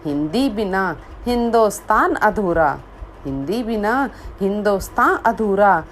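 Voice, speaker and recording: A woman reciting a poem she wrote herself, in a measured voice that repeats lines for emphasis, after a short spoken introduction. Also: medium pitch, expressive, slight background noise. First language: Hindi. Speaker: poet. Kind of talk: slow recited poetry.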